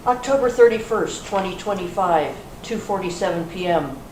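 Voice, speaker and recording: A woman's voice: fairly low pitch, lively intonation, moderate pace, darkish tone, close to an everyday voice. Woman acting a formal police interrogator, echoey room. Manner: formal, clipped, procedural. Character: brisk, skeptical female police interrogator. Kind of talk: amateur readers theater reading. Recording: echoey hall, distant mic, faint noise.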